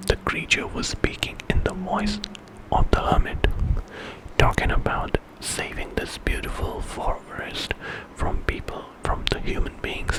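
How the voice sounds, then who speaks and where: A man telling a story in whispered ASMR style, informally, as if making it up with casual asides. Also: rough recording.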